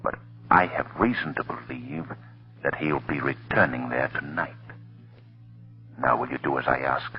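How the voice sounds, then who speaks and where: A very deep, mysterious unseen crime fighter. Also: male voice, animated, normal speed, vocal fry, long pauses, breathy edge, soft background music, full character voice. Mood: commanding, urgent. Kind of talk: 1930s radio drama acting. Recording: muffled old radio recording.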